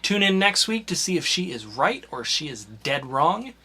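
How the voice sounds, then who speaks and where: A man's voice: on the high side, sing-song, moderate pace, a loud crowd. A man hosting a casual podcast who introduces segments and teases his co-host playfully.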